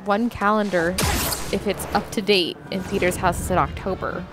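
A woman's voice: mid-range voice, steady tone, moderate pace, music in the background. A young woman giving casual, conversational reaction commentary. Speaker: reaction show host.

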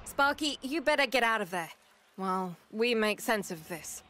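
A woman's voice: on the high side, animated, normal speed, bright, forceful tone, slight background noise. A woman playing a fiery, protective rebel comrade in a video game, urgent and emotional, with music over the voice. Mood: tense, concerned. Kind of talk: video game dramatic acting.

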